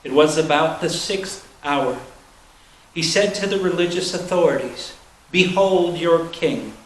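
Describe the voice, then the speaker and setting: A man's voice: on the high side, unhurried. Male scripture reader, solemn scripted delivery, echoey room with a faint noise underneath. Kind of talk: solemn scripted reading of a Bible narrative. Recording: roomy echo, faint background noise.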